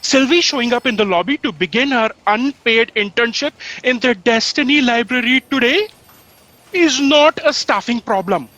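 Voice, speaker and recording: An actor playing a nervous but cheeky office underling in a sci-fi satire, hesitant and stammering. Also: male voice, very high-pitched, animated, moderate pace, pushed and bright, no echo, exaggerated voice, poor audio. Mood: nervous, snide. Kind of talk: sci-fi satire audio drama.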